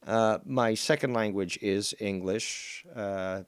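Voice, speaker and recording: A man's voice: on the low side, fairly even intonation, takes it slowly, clean tone. A Canadian man whose first language is Spanish, hosting and arguing back at a clip in a combative, profane, sarcastic way. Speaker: streamer host. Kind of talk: heated commentary on a clip.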